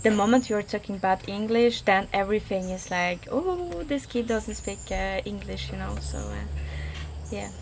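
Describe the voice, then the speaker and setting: A young woman from Poland, Polish-accented, answers questions in an interview at a middling pitch. She talks hesitantly, full of fillers and restarts. Faint background noise sits under her voice. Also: big pitch swings, normal speed. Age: 20s. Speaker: guest. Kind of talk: casual interview.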